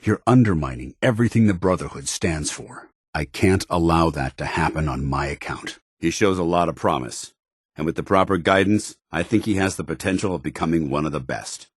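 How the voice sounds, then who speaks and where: An actor playing a stern, principled military paladin in a video game, speaking in a formal, earnest, commanding soldierly way. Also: male voice, low voice, brisk, slightly rough, no echo, clean studio sound. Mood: earnest, solemn. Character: stern, principled male military paladin, loyal to his order. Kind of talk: video game companion dialogue.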